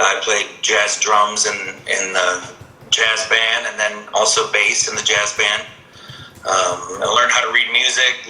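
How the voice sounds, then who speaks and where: Easygoing man with a bright voice. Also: mid-range voice, reverberant, rough recording. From Colorado-born, raised in Iowa. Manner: easygoing, with many ums and uhs. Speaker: singer-songwriter, guest. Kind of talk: casual interview answers.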